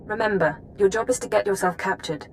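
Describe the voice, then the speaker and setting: A woman acts out a grave female spymaster from a video game. She speaks at a middling pitch, measured and commanding, resolute in every phrase. A faint low rumble sits under the voice. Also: close to an everyday voice, rough recording. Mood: grave, urgent. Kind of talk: video game dramatic acting.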